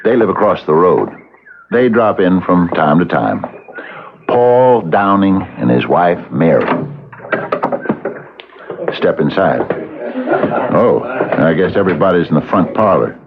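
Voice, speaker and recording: A muffled old radio drama recording of a folksy man with a very deep, creaky voice. He coaxes gently and repeats himself, soothing and almost hypnotic. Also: lively intonation, slow pace, long pauses, background noise, heavily acted. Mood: coaxing. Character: genial, coaxing married man, soothing, quietly hypnotic. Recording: muffled old radio broadcast, music behind.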